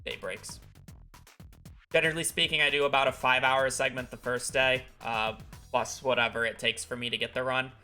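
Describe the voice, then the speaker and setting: A man's voice: medium pitch, sharp tone, smooth. A man talking casually over a live gaming stream, explaining at length with many fillers, his voice congested from a recent illness. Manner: rambling, filler-heavy explanations.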